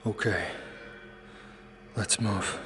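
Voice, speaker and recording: Man playing a low, hushed sci-fi rebel. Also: fairly even intonation, drawn-out words. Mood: urgent, questioning. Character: tense young man, human rebel guiding fugitive androids. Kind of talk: sci-fi audio drama acting.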